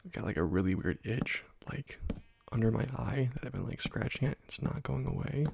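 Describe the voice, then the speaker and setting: A young man softly whispering slow, relaxed commentary while playing a video game, close to a desk microphone. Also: mid-range voice, vocal fry, a little hiss or hum. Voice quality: soft whispering, often unvoiced. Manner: slow, relaxed rambling between quiet pauses. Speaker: let's play host. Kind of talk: ASMR gameplay commentary. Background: faint keyboard and mouse clicks.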